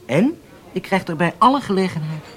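Self-important male spy with animated pitch. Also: brisk. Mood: assured, persuasive. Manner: emphatic, self-important, lecturing. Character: self-assured, impatient male undercover spy reporting to his handlers. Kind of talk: Dutch radio drama acting.

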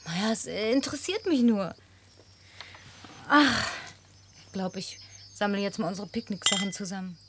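A woman's voice: mid-range voice, normal speed, pauses often, a bit bright, a little hiss or hum, natural voice. An actress in a German radio play, voicing an inquisitive, suspicious, solitary woman with a probing way of talking. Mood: probing, guarded. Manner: probing, questioning.

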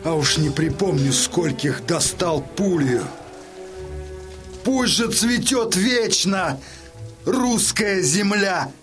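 A dying Cossack warrior, proud and at peace. His voice is fairly high and slow, declaiming solemnly over music in a radio drama. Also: expressive, fairly bright tone, exaggerated voice. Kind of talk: theatrical audio drama death speech. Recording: clear music behind the voice.

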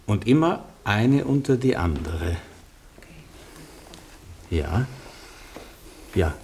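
A man acting a calm, wry rabbi who works miracles in a German radio play, courteous and instructing. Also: on the low side, very slow, gravelly, slight background noise, exaggerated voice.

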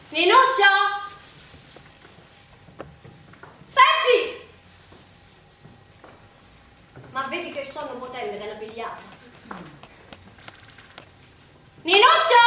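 A woman's voice: very high-pitched, sharp tone, exaggerated voice. An actress playing a raving, grieving mother in a theatrical monologue, with a southern Italian accent and repetitive, incantatory delivery. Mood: desperate, insistent. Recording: echoey stage, distant mic, faint noise.

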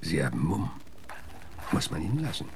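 An actor playing a gruff, hard-bitten American frontier lawman in a radio drama, blunt and terse as he interrogates. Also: extremely low pitch, very animated, normal speed, slight background noise, exaggerated voice. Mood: probing.

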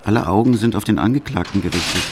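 A man narrating a radio documentary play in a grave, measured way, in short stark sentences. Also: fairly low pitch, noisy background. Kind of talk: scripted dramatic narration.

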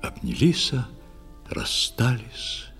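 A man's voice: mid-range voice, wildly swooping pitch, very slow, vocal fry, a bit bright, faint music behind. A man narrating a poetic tale for children as theatrical, scripted verse recitation.

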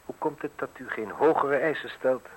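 A man's voice: medium pitch, normal speed, close to an everyday voice, rough recording. An actor in a radio play voicing a suspicious, wealthy man who questions tersely and with dry irony. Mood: sardonic, probing. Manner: terse, probing, drily ironic. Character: suspicious, curt, wealthy male villa owner, coolly sardonic. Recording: hissy old radio play recording.

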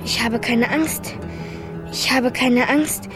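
A woman's voice: on the high side, steady tone, slow pace, music in the background. A woman playing a sulky, frightened six-year-old girl who grumbles defiantly in a children's radio play. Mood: anxious, self-bolstering.

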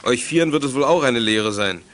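A man playing a gruff, impatient policeman, brusque and official, in a youth radio drama. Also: medium pitch, animated, fairly quick, a bit bright, slight background noise, lightly acted. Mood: stern. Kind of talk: 1980s German youth radio drama acting.

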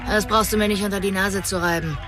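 An actress playing a determined, sharp-witted police detective in a radio drama, businesslike and firm. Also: female voice, medium pitch, steady tone, fast talker, over music, natural voice. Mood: tense, irritated.

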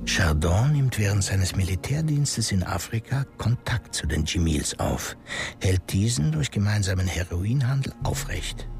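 A man playing a calculating, powerful political fixer in a radio drama, speaking in a measured way. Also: fairly low pitch, lively intonation, gravelly, darkish tone. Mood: brooding. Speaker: political operator. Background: music.

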